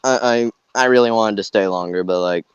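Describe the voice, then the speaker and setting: A man talks casually as a podcast guest, halting and repeating his words. His voice is clear and smooth, and the recording is dry. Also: fairly low pitch, no echo, highs cut off. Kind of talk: casual chat.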